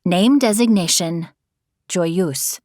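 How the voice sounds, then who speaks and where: A man's voice: high voice, slow pace, a little mellow, dry recording, studio quality, voice pushed up. A man voicing a mischievous young female AI companion, teasing and perky, in an audiobook. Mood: confident. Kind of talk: audiobook character voice.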